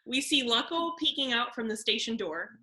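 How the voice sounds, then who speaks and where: A woman's voice: fairly high pitch, animated, normal speed, noisy background. A woman reading scripted stage directions as narrator at a table read.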